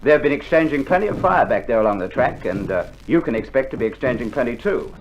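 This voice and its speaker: An actor playing a laconic, dryly stern Australian sergeant major with gruff understatement, in a 1960s radio drama. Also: male voice, medium pitch, expressive, fast, close to an everyday voice. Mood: grim. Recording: muffled 1960s vinyl, faint noise.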